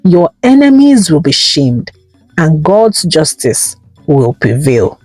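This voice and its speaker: Lady doing a holy spirit from a folktale, soft but in charge, slow, faint music behind. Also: low voice, very animated, no echo, exaggerated voice. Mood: gentle, commanding. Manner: gentle, commanding, solemn. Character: gentle yet commanding holy spirit in a vision.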